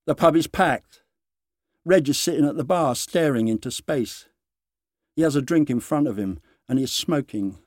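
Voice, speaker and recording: A man narrating a short story dramatically, with deliberate phrasing and dramatic pauses. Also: fairly high pitch, lively intonation, no echo, studio quality.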